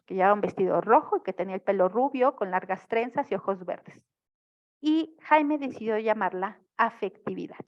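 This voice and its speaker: A woman's voice: medium pitch, muffled recording. A woman teaching a family therapy class online, explaining and often checking in with her students. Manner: explanatory, frequent checking questions. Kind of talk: online lecture.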